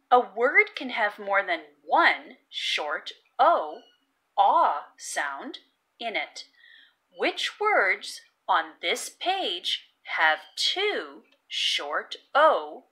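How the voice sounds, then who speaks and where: Female read-aloud narrator for young children: very slow, hugely varied pitch, sing-song and deliberate, stressing key words. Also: very animated, bright, forceful tone, poor audio. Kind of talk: expressive picture-book reading with phonics teaching.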